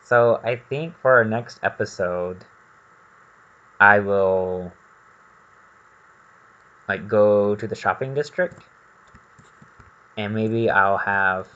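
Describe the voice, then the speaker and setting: A man talks through a game in a casual, rambling way, as if thinking aloud. His voice is fairly low but bright and forward, and he takes his time. The recording is slightly dull and lo-fi. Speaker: gaming video host. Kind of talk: casual gameplay commentary.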